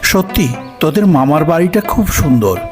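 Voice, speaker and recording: A polite college youth in a cartoon, easygoing and skeptical. His fairly high voice moves expressively, over faint music. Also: sing-song, moderate pace. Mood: appreciative. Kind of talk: cartoon voice acting.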